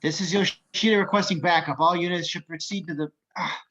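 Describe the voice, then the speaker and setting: A man's voice: a little high, steady tone, brisk, highs cut off, a crowd in the background, natural voice. A man playing an urgent police detective in an amateur script reading. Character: urgent male police detective calling for backup. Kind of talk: amateur online table read of a film script.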